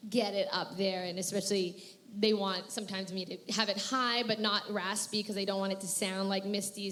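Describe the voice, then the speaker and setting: Woman voice actor chatting at a con panel, rambly, lots of ums and giggles, mic'd up. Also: fairly low pitch. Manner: chatty, rambling, laughs often, many fillers. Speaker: voice actress panel guest. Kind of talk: convention panel Q&A answers. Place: convention panel stage.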